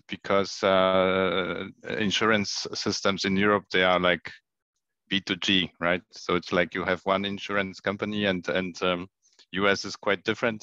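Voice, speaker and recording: Guy on a video call, bright forceful voice, stumbles and repeats words, dry muffled sound. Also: sits low, no room sound. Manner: hesitant, repeats words, light laughs. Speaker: scout and pre-sales for medtech companies. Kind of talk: casual online meeting chat.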